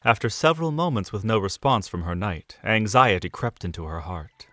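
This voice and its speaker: A man narrating an audio drama in an expressive storytelling manner. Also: lowish voice, moderate pace, no room sound.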